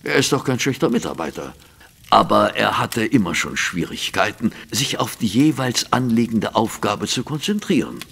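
Grave gentleman, low and expressive. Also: creaky, husky, exaggerated voice. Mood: earnest, explaining. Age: middle-aged. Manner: formal, measured, earnest. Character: grave, proper, practical gentleman businessman past middle age. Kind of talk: German audio drama acting.

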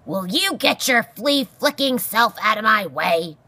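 A woman's voice: medium pitch, big pitch swings, unhurried, creaky, pushed and bright, husky, no echo, pitched above the performer's own voice, heavily acted. A woman voicing a grumpy old male porcupine in a storybook, barking scornful insults in a growling bluster. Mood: furious.

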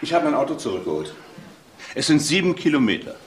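A man's voice: on the high side, big pitch swings, quick pace, a little hiss or hum. An actor playing an embittered middle-aged father, insistent and exact, stressing his points in a crime radio drama. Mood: insistent, bitter. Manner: emphatic, exact, repetitive.

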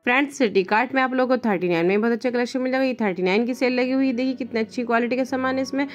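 A woman shopping vlogger walking through store aisles with her phone, pointing out products and prices in brisk, upbeat, salesy patter. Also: on the high side, soft background music. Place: indoor retail store aisles.

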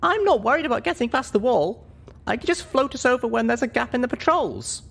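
A man's voice: very high voice, lively intonation, fairly quick, creaky, a bit bright, slightly rough, pitched above the performer's own voice, exaggerated voice. A man voicing a small, stubborn young female pony in an earnest, emphatic storybook reading. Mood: confident.

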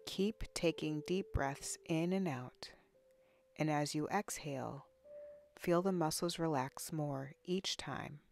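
A woman leading a guided body scan meditation in a soothing, unhurried, instructional way with long pauses. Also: deep voice, creaky, darkish tone, faint music behind. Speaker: guided relaxation narrator, counselor.

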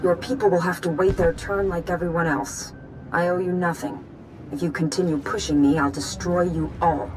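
A woman playing a defiant, threatening politician in a video game, cold and forceful, through a transmission filter. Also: on the low side, steady tone, normal speed. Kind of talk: video game cutscene acting. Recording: filtered message with clear noise.

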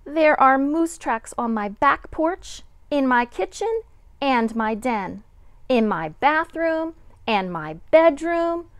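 A middle-aged woman, a kindergarten teacher, reading a picture book aloud to young children with deliberate, sing-song dramatic emphasis. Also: high voice, very animated, no room sound.

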